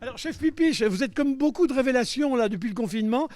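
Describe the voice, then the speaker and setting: A man's voice: extremely high pitch, expressive, quick pace, a little mellow, no room sound. A man hosting a live street interview outdoors by the seafront, asking questions in a playful, teasing way. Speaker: host.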